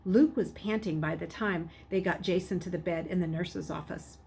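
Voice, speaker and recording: A woman's voice: on the low side, normal speed, a little mellow. Woman reading a story aloud, noisy recording. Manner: dramatic, acts out the characters. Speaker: read-aloud narrator. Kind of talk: expressive storybook narration. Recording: clearly noisy background.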